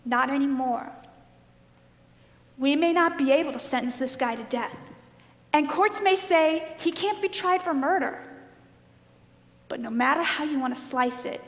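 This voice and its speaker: A woman's voice: high-pitched, unhurried, long pauses. A woman on stage playing a wounded, defiant juror who survived bullying, speaking with pleading emphasis in a play monologue. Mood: accusing, defiant. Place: live stage performance in a hall. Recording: echoey hall, long reverb.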